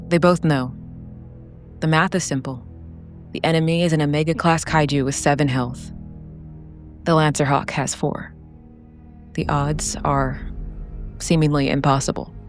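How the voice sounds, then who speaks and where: A woman narrating a scripted, dramatic solo role-playing story in a solemn, deliberate way with short phrases. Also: low voice. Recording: background music under the voice.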